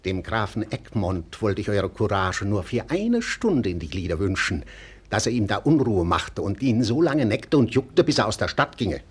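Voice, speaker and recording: An actor playing a cynical, sharp-tongued clerk in a mocking, theatrical manner, in the style of 1940s German radio drama. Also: male voice, lowish voice, very animated, moderate pace, breathy edge, full character voice. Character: cynical, sharp-tongued male clerk stirring up timid townsfolk.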